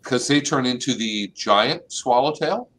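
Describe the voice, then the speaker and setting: A middle-aged man hosting a live online plant clinic from an office over a video call, explaining calmly and saying y'all. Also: medium pitch, unhurried, a little hiss or hum. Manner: relaxed, explanatory, uses y'all. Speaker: extension agent and plant clinic host. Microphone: webcam on a video call.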